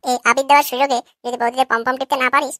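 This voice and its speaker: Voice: high voice, level tone, racing, a bit bright, no echo, studio quality. A performer voicing a crude, cocky young neighborhood prankster in a cartoon, always teasing. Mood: scheming.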